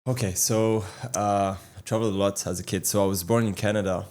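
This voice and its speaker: A man's voice: fairly low pitch, steady tone, a little fast, darkish tone. A young man with a French accent, a guest on an interview show, talking earnestly with many fillers and repeated words. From France. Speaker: guest, athlete and coach. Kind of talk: casual interview talk.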